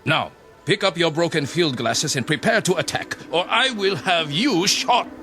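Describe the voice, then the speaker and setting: An actor playing a ruthless, contemptuous Japanese army captain in a radio drama, commanding and cold, with deliberate, emphatic phrasing. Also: male voice, on the high side, expressive, sharp tone. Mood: fierce, insistent. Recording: music under the voice.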